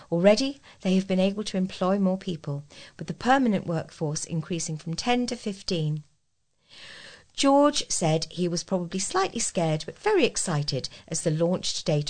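A woman reading scripted local news as a volunteer reader. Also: medium pitch.

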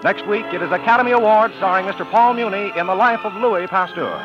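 A male announcer with a fairly high voice reads in a formal, emphatic 1940s radio style. The recording is dull and vintage, with faint music. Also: quick pace.

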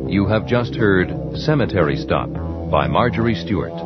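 A man's voice: deep voice, animated, normal speed. A man reading scripted closing credits as a radio announcer. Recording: muffled old radio, loud music behind. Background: loud music.

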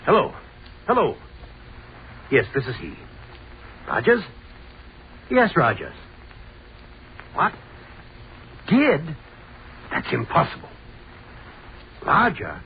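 An incredulous, excitable male academic in a 1930s radio drama. He blusters and repeats himself, his pitch swinging widely. The old recording is dull, with a faint hiss. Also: slow, creaky, pauses often, exaggerated voice. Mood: alarmed, disbelieving. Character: flustered, incredulous male academic, easily indignant.

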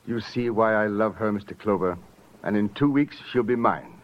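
An actor playing a genteel, possessive older fiancé in a 1950s radio drama, pompous and over-polite. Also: male voice, on the low side, moderate pace, slight background noise, natural voice. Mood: wounded, possessive. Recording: dull vintage radio recording.

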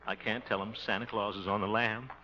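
An actor playing a flustered, soft-hearted middle-aged American man in a 1950s radio comedy, blustery and given to muttering to himself. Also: lowish voice, fairly even intonation, a little fast, close to an everyday voice. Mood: anxious. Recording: muffled, very noisy old radio recording.

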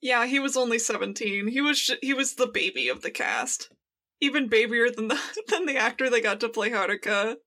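A young woman with a high, bright voice banters casually on a fan podcast. She co-hosts with playful, wry quips, in a sharp, clearly youthful tone. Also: brisk. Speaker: podcast co-host.

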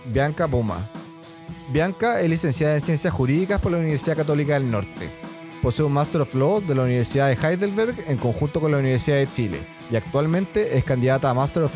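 A man formally reading a scripted podcast introduction that presents the guest. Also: a little high. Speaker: podcast announcer. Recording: voice over guitar music.

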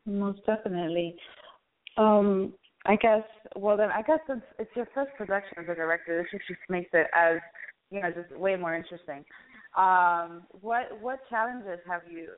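A woman's voice: medium pitch, moderate pace. A woman hosting a casual interview, asking questions in an informal, rambling way. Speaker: interview host. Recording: dull lo-fi phone-like radio sound.

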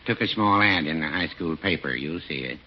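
An American actor playing a mild, folksy older small-town druggist with dry, deferential delivery in a 1940s radio comedy. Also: male voice, very deep voice, lively intonation, a little fast. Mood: chipper. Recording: muffled, noisy 1940s radio recording.

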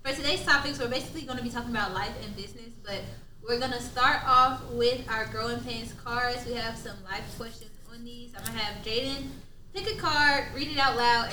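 A woman's voice: a little high, fairly even intonation, fast talker, bright, forceful tone, distant mic. A 25-year-old woman hosting a podcast roundtable, upbeat and running the discussion. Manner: upbeat, organized, moderating. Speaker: podcast host. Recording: roomy, off-mic, faint room noise.

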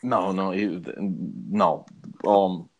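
A man's voice: low voice, fairly even intonation, very slow, lo-fi sound. A man co-hosting a podcast, chatting casually in a slangy, rambling, jokey way. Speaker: podcast co-host. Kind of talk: casual chat about movies.

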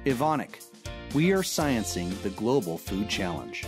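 A man reading scripted sponsor messages for a podcast in a clear announcing delivery. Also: lowish voice, sing-song, measured pace, music in the background. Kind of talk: scripted ad read.

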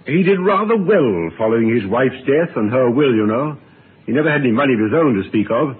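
Older gentleman, played by a male actor, proper and fretful, animated pitch, radio mystery on a phone-like vintage recording. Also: natural voice. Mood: suspicious. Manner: proper, halting, earnest. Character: polite, fretful gentleman past middle age. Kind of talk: old-time radio drama acting. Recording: tinny old radio recording, faint noise.

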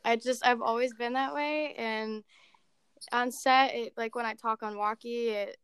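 A woman in her twenties, a podcast guest, chatting casually about her work in a level, monotone way. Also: a little high, a little slow, smooth, dry recording. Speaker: podcast guest, assistant director. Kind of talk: casual chat.

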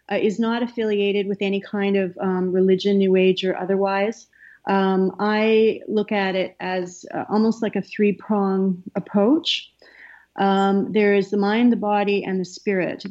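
A middle-aged woman from Canada, a soft-spoken guest who talks with many fillers and pauses. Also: medium pitch. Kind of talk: casual interview talk. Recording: slightly dull, lo-fi sound.

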